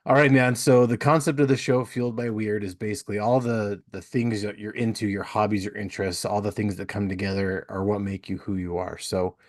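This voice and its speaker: A man's voice: fairly low pitch, steady tone, fairly quick, few pauses, no room sound, studio quality. A man hosting a podcast in a casual, chatty style. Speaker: podcast host. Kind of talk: casual chat.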